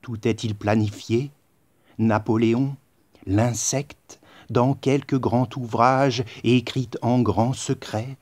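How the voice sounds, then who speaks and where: Slow, solemn man reciting poetry. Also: lowish voice, darkish tone, no echo. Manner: declamatory, solemn, measured phrasing with pauses. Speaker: poet reciting own poem.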